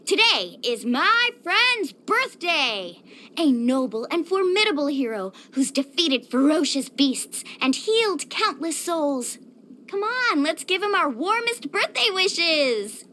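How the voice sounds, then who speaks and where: Super high bubbly surfer girl from a video game, peppy n friendly, really swoopy delivery, some noise behind. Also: sharp tone, heavily acted. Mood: excited, celebratory. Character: bubbly, sporty young woman surfer, upbeat and caring. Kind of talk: scripted video game voice acting.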